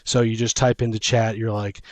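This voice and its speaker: A man's voice: on the low side, little pitch movement, fairly quick, no room sound. A middle-aged man chatting casually as a podcast host, rambling emphatically and often breaking off mid-thought. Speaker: podcast host and tabletop game master. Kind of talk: casual conversational chat.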